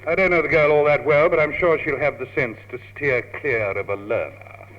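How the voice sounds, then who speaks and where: An actor with a British accent playing a dry, worldly, teasing chap in an old radio comedy. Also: male voice, a little high, fairly even intonation, brisk, natural voice. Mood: wry exasperated. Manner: wry, sardonic, urbane. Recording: dull, noisy old radio tape, clicks.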